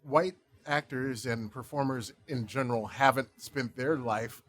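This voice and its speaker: A man's voice: medium pitch, fairly even intonation, a little slow, a bit bright. A man hosting a podcast in casual conversation, reflective and supportive toward his guest. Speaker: podcast host.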